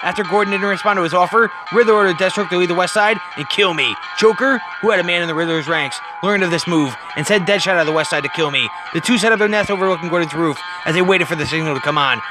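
A brooding male vigilante, acted by a man reading a comic aloud, speaks in grave, clipped phrases. He sounds haunted and intensely dramatic, with music clearly playing behind him. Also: high-pitched, quick pace, hardly any pauses, pitched below the performer's own voice, lightly acted. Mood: brooding, grim. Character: brooding grim adult male masked vigilante, haunted and guilt-ridden.

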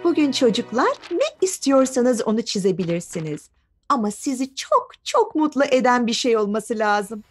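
A kind, patient grown-up woman played by an actress talks to small children. Her voice sits fairly high and she explains carefully and gently. Soft music plays behind this cartoon dub. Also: animated, moderate pace, natural voice. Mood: encouraging. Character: kind, patient female preschool teacher or mother. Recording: studio dub over background music.

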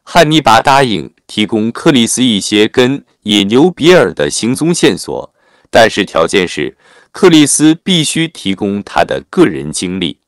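Male narrator, low pitch, lively intonation, scripted film recap, formal storytelling. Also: measured pace, dry recording, clean studio sound. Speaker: narrator of film plot summaries.

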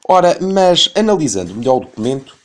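A man in his thirties with a European Portuguese accent, reading a critical radio commentary and reciting long figures in a measured, careful way. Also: a little high, sing-song. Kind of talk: scripted opinion commentary. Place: radio studio.

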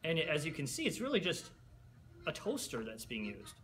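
A man's voice: fairly high pitch, huge pitch swings, normal speed, fairly bright tone, a little hiss or hum. A young man in a room, speaking into a headset mic in a playfully agreeable, mock-formal manner. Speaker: co-host. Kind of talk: mock-serious cooking commentary.

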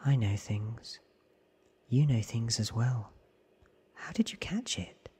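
A man voicing a weary, ancient female vampire in a hushed, blunt, whispery ASMR storybook reading. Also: medium pitch, very animated, slow pace, a little hiss or hum. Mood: knowing, blunt. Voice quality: breathy, whispery.

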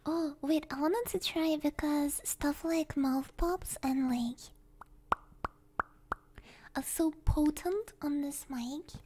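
Very high, soft-spoken woman doing ASMR. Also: slow pace, slight background noise. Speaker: ASMR streamer, host. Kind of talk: ASMR livestream chat, drifting into whispers. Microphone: close binaural stereo microphone.

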